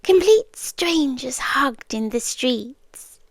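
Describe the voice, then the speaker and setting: A woman performs an ageless otherworldly girlfriend with a British accent and a high, hushed voice. She speaks very unhurriedly in an ASMR roleplay, drifting into whispers with obsessive, wistful devotion. The sound is intimate and dry, right at the microphone. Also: expressive, no room sound, heavily acted. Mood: wistful fascination. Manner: slow, deliberate, hushed, with dramatic pauses. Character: ancient otherworldly female being, obsessively devoted, wistfully watching humans. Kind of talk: soft ASMR roleplay, half-whispered monologue. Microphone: close ASMR microphone.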